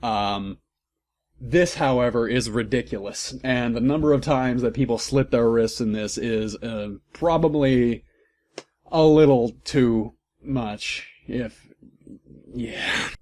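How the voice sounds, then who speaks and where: A man's voice: mid-range voice, slow pace, a little mellow. A man reading a story aloud as a comedic host, breaking off for wry, amused asides.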